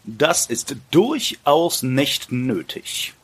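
Haughty, boastful buckwheat plant. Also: male voice, mid-range voice, sing-song, extremely slow, fairly bright tone, breathy edge, exaggerated voice. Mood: scornful, defiant. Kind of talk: German fairy-tale audio play acting.

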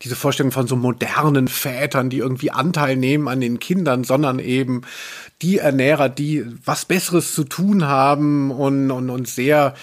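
A man hosting a podcast in casual discussion, talking in a halting way as he searches for his words. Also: medium pitch, fairly even intonation. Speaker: podcast host, culture journalist.

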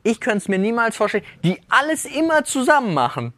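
A man's voice: extremely high pitch, sing-song, brisk, fairly bright tone, no echo. A man co-hosting a casual podcast, rambling and breaking off mid-sentence as he tells stories from his own relationships. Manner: rambling, self-interrupting, laughs at his own remarks. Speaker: podcast co-host.

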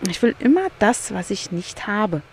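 A woman talking through her own thoughts in a solo podcast, candid and musing, often turning things into questions for herself. She records outdoors in a beach chair by the sea, in a medium-pitched, unhurried reflective voice. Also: sing-song, a little mellow, slight background noise. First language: German. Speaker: podcast host.

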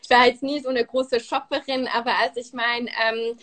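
A young Swiss woman with a Swiss German accent talks openly as an interview guest. Her voice sits fairly high, and she fills her speech with hesitations and restarts as she tells her story. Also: level tone, moderate pace, a bit bright. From Switzerland. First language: Swiss German and French. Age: 20s. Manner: chatty, many fillers and restarts. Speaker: podcast guest. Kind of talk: live interview.

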